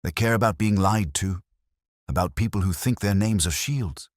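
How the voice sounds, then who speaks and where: A man voicing a composed, precise woman in an audiobook, speaking smoothly and with restraint as she confronts wrongdoers. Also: fairly low pitch, dry recording, clean studio sound, pitched above the performer's own voice, lightly acted. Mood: calm resolve. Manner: smooth, measured, untheatrical.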